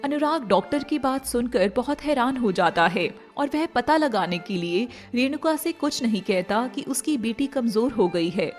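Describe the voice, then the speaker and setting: A woman narrator reading a scripted moral story. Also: fairly high pitch. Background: faint music.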